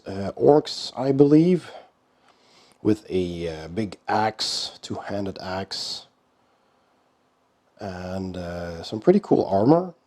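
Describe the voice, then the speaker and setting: A hoarse man with a cold hosts an unboxing in a casual, unhurried way. He talks in a low-key manner with many pauses. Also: mellow. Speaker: board game channel host.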